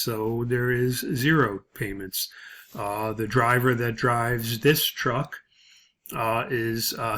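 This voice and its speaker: A male instructor with a mid-range voice walks through material step by step. His delivery is halting, with frequent pauses and hesitations as he explains. It sounds like a spoken software tutorial. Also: mid-range voice, slight background noise.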